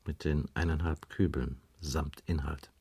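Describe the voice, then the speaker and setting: An actor in a German radio crime play playing a patient, wry police inspector who questions in a dry, probing way. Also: male voice, extremely low pitch, steady tone, a little slow, a little mellow, breathy edge, full character voice. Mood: dry, methodical. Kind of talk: 1980s German radio drama dialogue.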